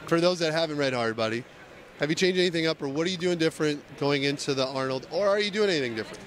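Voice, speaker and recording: A man's voice: fairly high pitch, expressive, very fast. Teasing young male interviewer. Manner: teasing, chatty, laughs often. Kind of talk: casual expo interview. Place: busy expo hall, indoors. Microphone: handheld mic.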